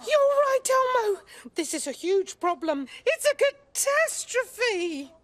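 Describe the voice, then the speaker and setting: A woman's voice: very high voice, big pitch swings, slow, slight background noise, full character voice. A woman voicing a kindly, fussy monster auntie who calls others dear. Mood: caring.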